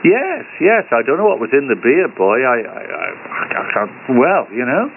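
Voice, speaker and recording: A man's voice: mid-range voice, huge pitch swings, moderate pace. An older man with a British accent chatting casually over ham radio, hesitant, wry and teasing. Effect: ham radio transmission. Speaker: amateur radio operator. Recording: tinny shortwave radio link with hiss.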